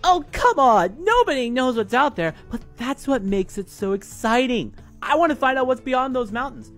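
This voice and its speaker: Adventurous kitty, high, bubbly, emphatic. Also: male voice, expressive, normal speed, fairly bright tone, faint music behind, exaggerated voice. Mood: excited. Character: excited adventurous young kitty, eager and convincing.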